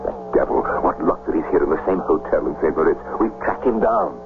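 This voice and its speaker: A bluff, conspiratorial upper-class gentleman in a radio play. His clipped voice is very deep and lively, on a tinny, noisy recording. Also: fast. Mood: amused, intrigued. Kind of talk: radio drama acting.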